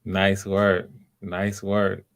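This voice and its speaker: A man's voice: deep voice, monotone, drawn-out words. A man hosting online auditions, casually coaching people in an encouraging, reassuring way. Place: live online video call.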